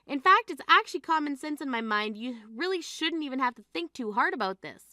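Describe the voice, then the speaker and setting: A woman's voice: high-pitched, lively intonation, a little fast, bright, forceful tone, no echo, clean studio sound. A woman playing an exasperated, grieving sister who scolds in an incredulous, reproachful way in a dramatized story. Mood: shocked, indignant. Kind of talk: dramatized phone-call story dialogue.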